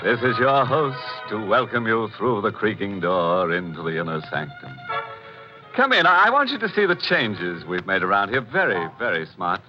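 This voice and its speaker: A man's voice: fairly low pitch, very animated. An actor playing a ghoulish, theatrical host and narrator of a 1940s radio horror show, drawing out his words with sinister relish. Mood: gleefully macabre. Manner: drawn-out, pun-loving, sinister relish. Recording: dull 1940s radio recording, organ music.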